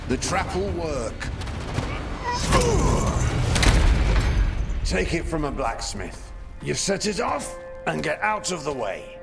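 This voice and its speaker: An actor playing a gruff, practical, fatherly blacksmith in a fantasy video game, with a gravelly voice. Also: on the high side, big pitch swings, measured pace, exaggerated voice. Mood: firm. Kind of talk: dramatic fantasy game acting. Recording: faint game music behind.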